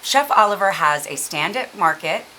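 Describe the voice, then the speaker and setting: A woman's voice: on the low side, expressive, normal speed, pushed and bright, a little hiss or hum, low-quality recording. A woman hosting a local TV interview show, chatty and encouraging with her questions. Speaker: TV show host. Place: local TV studio.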